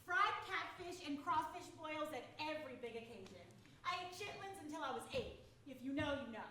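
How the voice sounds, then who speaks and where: A woman's voice: sits high, expressive, normal speed. Woman from Houston, sassy monologue, distant mic, room hiss behind. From Houston, Texas. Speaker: stage performer. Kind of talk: scripted theatre monologue. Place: theatre stage. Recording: roomy echo from far off.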